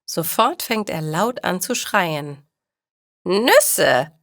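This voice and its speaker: A woman narrating scripted stories for language learners in a deliberate, clearly articulated way. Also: on the low side, expressive, no echo, studio quality.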